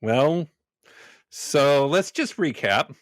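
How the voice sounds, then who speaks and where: Animated, wry man in a dry-sounding room. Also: on the high side, drawn-out words, no room sound. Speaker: tabletop game player. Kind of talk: casual improvised role-play chat.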